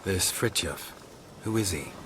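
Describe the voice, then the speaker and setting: An actor playing a stoic, dry-witted monster hunter in a video game, laconic and sardonic. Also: male voice, low-pitched, unhurried, background noise. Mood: wry, questioning. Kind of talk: scripted video game acting.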